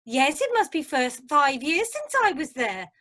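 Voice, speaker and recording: A woman's voice: high voice, sing-song, a little slow, a bit bright, exaggerated voice. A woman playing a chatty, nosy cruise passenger who loves gossip, in an amateur radio drama. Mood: eager, nosy. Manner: gossipy, chirpy.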